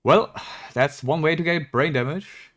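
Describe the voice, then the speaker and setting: A man's voice: on the high side, measured pace, fairly bright tone. A man streaming a game with casual, mocking, sweary commentary. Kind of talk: casual sarcastic live commentary.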